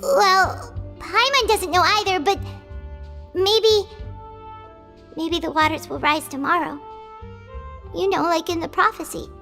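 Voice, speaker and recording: A woman voicing a tiny, excitable floating female companion who chirps and talks about herself in the third person. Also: very high-pitched, slow, pauses often, heavily acted. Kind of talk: video game voice acting. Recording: game audio with reverb and music.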